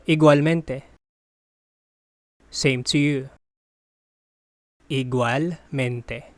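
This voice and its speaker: A teacher reading a phrasebook drill. Each phrase is said once, translated, then repeated slowly in parts. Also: mid-range voice, fairly even intonation, no echo. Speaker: language teacher.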